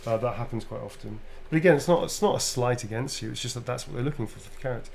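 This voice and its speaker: A British man talks casually through fan questions, rambling a little and correcting himself as he goes. His voice sits at an ordinary middle pitch. A faint hum runs underneath. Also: racing, vocal fry. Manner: chatty, loose and informal, full of ums and self-corrections. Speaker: voice actor answering fan questions. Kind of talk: casual live Q&A.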